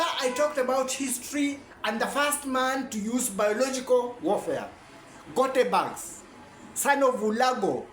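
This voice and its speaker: Animated man lecturing. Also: sits high, echoey room, slight background noise. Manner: rambling, animated, self-correcting. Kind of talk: informal lecture.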